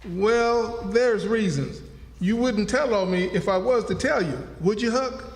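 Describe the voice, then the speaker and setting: A man's voice: sits high, measured pace. A man playing a superstitious, fearful runaway in a stage radio drama, with a folksy dialect. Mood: wary, confiding. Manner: folksy dialect, pleading and repeating himself. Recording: echoey hall, live stage performance.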